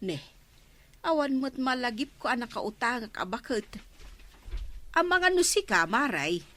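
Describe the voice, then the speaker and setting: An actress playing an excitable, evasive village gossip with an Ilocano accent in a radio comedy drama, full of exclamations. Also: female voice, a little high, measured pace. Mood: flustered.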